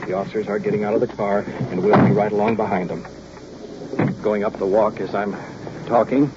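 Deep-voiced male reporter in heavy noise. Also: steady tone, fairly quick. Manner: hesitant, improvised, formal wording. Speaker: reporter riding along with police. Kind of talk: live on-the-scene narration, old radio documentary. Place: on location in police car and houses. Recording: muffled, very noisy old field recording. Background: loud engine and ambient noise.

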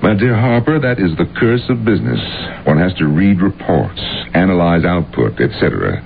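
An actor playing a stubborn, ill-tempered, imperious old industrialist in an old-time radio drama. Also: male voice, low voice, expressive, normal speed, gravelly. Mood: gruff, self-justifying. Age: older. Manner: imperious, curt, crusty. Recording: muffled phone-like old radio, loud music.

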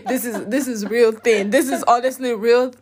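Animated laughing Nigerian woman. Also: a little high, moderate pace, a crowd in the background. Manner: animated, laughs often, calls listeners y'all and guys. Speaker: podcast co-host. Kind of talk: casual chat.

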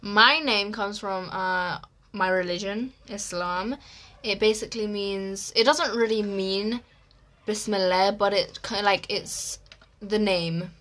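A teenage girl with an Egyptian accent chats and teases her friend on a podcast. Her voice is bright and crisp, and she giggles often between her lines. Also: mid-range voice, takes it slowly. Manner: chatty, giggly, teasing. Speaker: podcast co-host. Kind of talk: casual chat.

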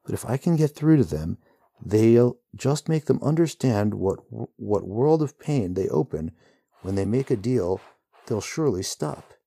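Man reads determined heroine, lifted pitch, dark gravelly tone; earnest, pressing. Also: very animated, normal speed, dry recording, clean studio sound, exaggerated voice. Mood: urgent. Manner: earnest, urgent. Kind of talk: storybook reading.

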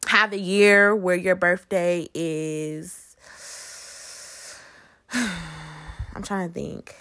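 Woman podcast host just chatting away, medium pitch, conversational, tosses questions out to listeners. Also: fairly bright tone. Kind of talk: casual solo podcast chat.

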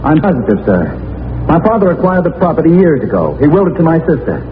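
A man's voice: fairly high pitch, very animated, fast. An actor playing an earnest, insistent young man seeking justice for his family, in formal 1940s radio crime drama style. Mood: solemn. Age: young adult. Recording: noisy narrow-band 1940s radio recording.

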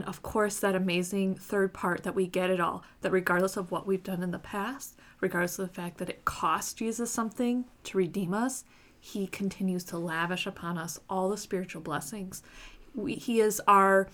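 A woman in her thirties hosting a casual podcast in a room with her sisters, earnest and quick to laugh, pausing with fillers as she thinks aloud. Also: mid-range voice. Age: 30s. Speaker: podcast host. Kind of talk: casual faith podcast chat.

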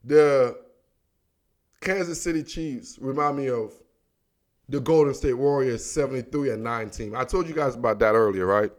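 An American man giving casual, slangy football commentary in an animated, emphatic, repetitive manner, talking straight to his listeners. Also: mid-range voice, moderate pace. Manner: animated, emphatic, repeats himself, addresses listeners as dog and baby. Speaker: sports commentator, host.

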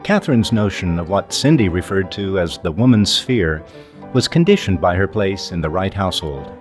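An older man narrates a scripted documentary as podcast host. His voice sits very low and moves with animated intonation, measured and emphatic, over clearly audible music.

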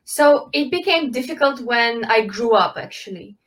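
A woman, answering interview questions in halting, full of fillers. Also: fairly high pitch, expressive, a bit bright. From Ukraine. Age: 20s. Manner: halting, with frequent fillers and restarts, non-native phrasing. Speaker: guest, Olympic swimmer.

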